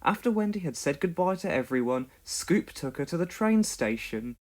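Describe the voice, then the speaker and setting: Read-along storyteller, mid-pitched, highly animated, expressive scripted delivery for young children. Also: normal speed. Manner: expressive, clearly paced storytelling. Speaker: storybook narrator.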